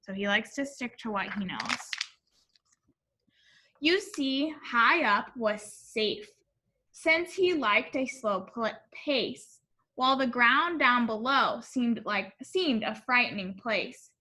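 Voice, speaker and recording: Woman, high lively voice, wide swings in pitch, unhurried, expressive children's story reading. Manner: expressive, addressing young listeners. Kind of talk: animated picture-book read-aloud.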